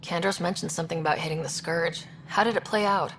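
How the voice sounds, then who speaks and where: An actress playing a young, inquisitive space explorer in a video game, asking things in a direct, businesslike way. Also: female voice, fairly low pitch, steady tone, quick pace, fairly bright tone, slight background noise, close to an everyday voice, low-quality recording. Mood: curious. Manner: direct, plain questioning. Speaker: player's explorer character.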